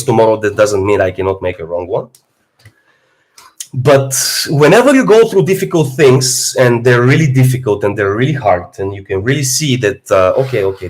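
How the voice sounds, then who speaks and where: A man, hoarse from a cold, talking bluntly and philosophically in a casual livestream monologue as a fitness streamer. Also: mid-range voice, low-quality recording. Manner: blunt, philosophizing, swears freely.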